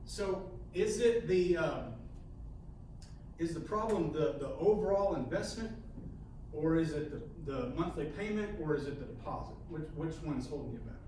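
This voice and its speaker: A man leading a sales training session, halting and repeating words, often ending points with "okay?". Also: on the high side, animated, darkish tone. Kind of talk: coaching a training session with role-play. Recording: echoey room, mic far off, faint hiss.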